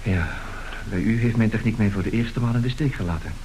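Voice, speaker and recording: A man's voice: on the low side, a little fast, mellow, natural voice, low-quality recording. A man playing a suave, self-assured swindler in an old radio play, speaking formally and theatrically. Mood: smooth, wry. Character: suave, unrepentant male swindler who woos women by letter. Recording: hissy old radio recording.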